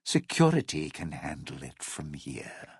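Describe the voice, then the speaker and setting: An actor playing a cynical, scheming old lounge performer in a video game, with a gravelly, raspy voice and a sardonic, showy drawl. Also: male voice, very deep voice, huge pitch swings, measured pace, dry recording, clean studio sound, heavily acted. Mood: smug, menacing. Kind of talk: video game character dialogue.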